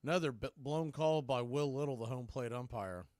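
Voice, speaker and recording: Male play-by-play baseball announcer. Crisp, slightly high voice, very dry sound, clipped live calls. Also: normal speed, a little mellow, no room sound. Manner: clipped phrases, calls rising on the action. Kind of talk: live play-by-play commentary on a stream.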